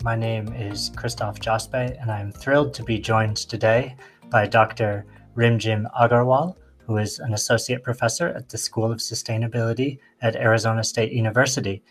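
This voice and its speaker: A man's voice: medium pitch, fairly even intonation, moderate pace. A man hosting a podcast, giving a scripted welcome and asking eager questions. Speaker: podcast host. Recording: faint music behind.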